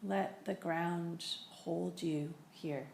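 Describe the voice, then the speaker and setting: Woman leading a meditation, really slow n gentle, lowish voice, echoey room w faint noise. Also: steady tone, vocal fry. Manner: gentle, unhurried instruction with long pauses. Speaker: meditation teacher. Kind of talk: guided meditation. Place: indoor room in a building.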